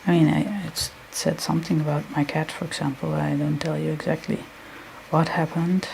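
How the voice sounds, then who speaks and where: A woman with a Dutch accent, a non-native English speaker, talking to herself as she draws on a live stream, halting and hunting for words. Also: sits low, little pitch movement, gravelly, darkish tone, a little hiss or hum, poor audio. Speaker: artist live-streaming while drawing. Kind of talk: thinking aloud while drawing.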